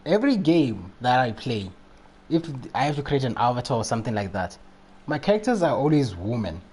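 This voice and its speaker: A man's voice: mid-range voice, big pitch swings, a little fast, darkish tone, breathy edge. A man doing casual live game commentary and chatting with viewers in a playful, rambling, reactive way. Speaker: game livestreamer.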